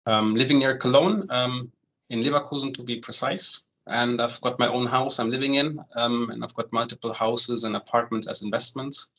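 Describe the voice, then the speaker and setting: A man in his forties, raised in Germany, leads an online session as a home loan consultant. He walks through the steps at an easy pace. His talk is loose and full of "um"s, and he keeps checking in with "right?". His voice sits at an average pitch for a man. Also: normal speed, rough recording. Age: 40s. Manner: relaxed, many "um" fillers and tag "right?".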